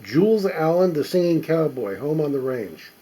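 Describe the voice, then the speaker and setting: A middle-aged or older man giving casual, unscripted show-and-tell commentary as a collector, halting and musing aloud as he inspects things. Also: fairly high pitch, big pitch swings, mellow, husky, poor audio. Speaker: record collector presenting finds.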